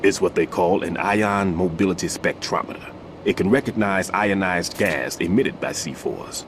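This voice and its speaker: Very deep-voiced aging bomb expert. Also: male voice, moderate pace, noisy background. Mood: instructive. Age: older. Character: aging retired bomb disposal expert, weary, authoritative mentor. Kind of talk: video game voice acting, explaining and briefing.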